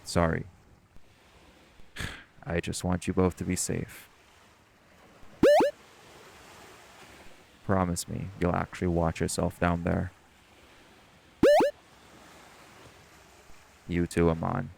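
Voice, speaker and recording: A man's voice: sits low, completely flat delivery, measured pace, vocal fry, heavy background noise, heavily acted. A man playing a stern, protective shifter who leads a dive in an audio roleplay, speaking seriously and cautiously. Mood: softly concerned. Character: stern, protective male marine shifter, bossy diving leader. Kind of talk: scripted audio roleplay acting.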